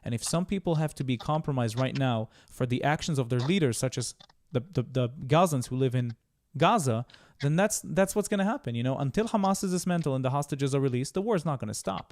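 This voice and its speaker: Man, street interviewer, rapid and pushy in debate, dry close sound. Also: a little high, expressive, a little mellow, no echo. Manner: insistent, interrupts, repeats himself.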